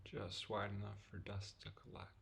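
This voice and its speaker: A man playing an obsessive, increasingly unhinged narrator in a deliberate, melodramatic radio drama monologue. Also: on the low side, monotone, vocal fry, slight background noise. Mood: brooding unease. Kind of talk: scripted first-person radio drama monologue. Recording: loud music under the voice. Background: music.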